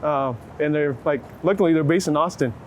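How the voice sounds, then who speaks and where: A man's voice: a little high, a little fast. An American man from Texas talking casually and reflectively as a photographer in an interview, with an informal, searching way of putting things. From Waco, Texas, United States. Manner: reflective, informal, hedging with frequent "like". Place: outdoors on a city street. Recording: clear street and traffic noise. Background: urban ambience, passing vehicles.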